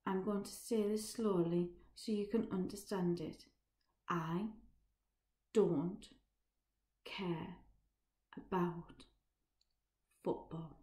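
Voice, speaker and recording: A woman voicing an angry, bitter young man who works in a factory, shouting and threatening. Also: medium pitch, fairly even intonation, normal speed, few pauses, natural voice. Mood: cold, slow. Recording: roomy echo.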